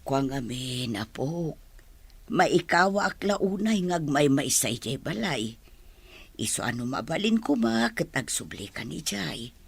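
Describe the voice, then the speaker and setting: Husky, slow-talking elderly grandmother played by an actress. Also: deep voice, sing-song, full character voice. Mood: earnest, pleading. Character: elderly grandmother speaking slowly to her grandchild. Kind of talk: Ilocano radio drama acting.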